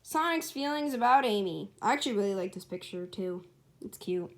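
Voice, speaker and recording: A woman's voice: medium pitch, lively intonation, fairly quick. A woman narrating her amateur story as writer and storyteller, informal and self-correcting, with casual asides.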